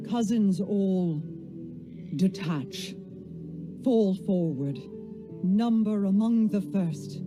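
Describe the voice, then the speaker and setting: Regal alien queen, solemn, slow measured delivery, commanding, formal video game drama, music behind. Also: lowish voice.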